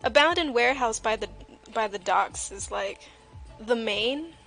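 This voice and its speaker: A woman's voice: a little high, animated, a little slow, a bit bright. A woman livestream host chatting casually and jokingly while she draws, with a playful, self-deprecating way of talking. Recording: music playing behind the voice. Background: music.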